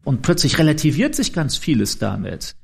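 A man's voice: on the high side, big pitch swings, moderate pace, vocal fry, husky. A man preaching in an informal, conversational and explanatory way, addressing his listeners directly. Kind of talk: informal sermon.

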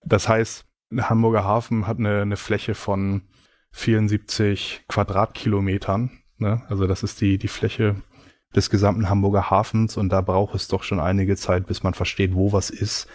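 A man's voice: on the low side, level tone, normal speed, darkish tone, dry recording. A man giving reflective, explanatory answers in a casual conversational interview. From Germany. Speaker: interview guest.

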